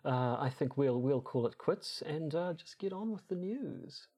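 A man's voice: on the high side, animated, measured pace, a little mellow, husky. A man co-hosting a podcast in casual discussion, with a hesitant, rambling delivery that often repeats words. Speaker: podcast co-host.

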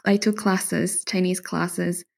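A woman from Bolivia, a podcast guest, talking in a relaxed, chatty way. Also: on the low side, slow pace, creaky. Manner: relaxed, chatty, with frequent fillers and soft laughs. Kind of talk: casual interview chat.

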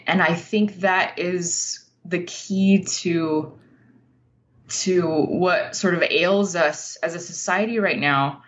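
A woman's voice: lowish voice, steady tone, slow pace, fairly bright tone, highs cut off, slight background noise. A woman speaking as an interview guest, a writer talking in an earnest, informal and reflective way. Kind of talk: casual conversational interview.

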